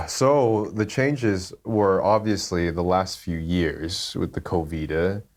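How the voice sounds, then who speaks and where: A man's voice: low-pitched, steady tone, slow pace. A man from California with an American accent, a podcast guest talking casually and hesitantly, with many fillers. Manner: meandering, hesitant, full of fillers. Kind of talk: casual conversation.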